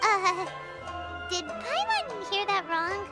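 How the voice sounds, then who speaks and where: A woman's voice: sing-song, takes it slowly, exaggerated voice. A woman voicing a tiny, cheeky, childlike fairy sidekick in a video game, chatty and informal. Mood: indignant. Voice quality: squeaky, childlike. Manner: talks of self in third person, cheeky, informal. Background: music.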